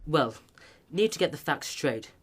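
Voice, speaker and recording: An actor playing a stiff-upper-lip Edwardian chief constable with a British accent, brisk and controlled, in a radio play. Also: male voice, fairly high pitch, sing-song, breathy edge, exaggerated voice. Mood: firm, businesslike.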